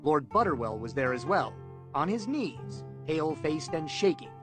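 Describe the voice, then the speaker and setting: Guy reading a fantasy audiobook dramatically, voice a bit high, guitar music playing under it. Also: sing-song, normal speed. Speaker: audiobook narrator. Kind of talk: dramatic storybook narration with character voices.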